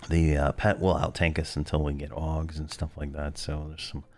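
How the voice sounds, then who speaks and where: A man commentating his own gameplay in a rambling, laid-back way, full of fillers, his voice hoarse from losing it. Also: a bass voice, monotone, normal speed, darkish tone, faint music behind. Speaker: game video host.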